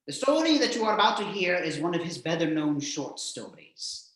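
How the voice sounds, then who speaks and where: A man playing a flamboyant, vain vampire count who hosts a horror show with melodramatic, drawn-out delivery. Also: high-pitched, very animated, a bit bright. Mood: spooky relish. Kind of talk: theatrical horror host narration. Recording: very echoey room.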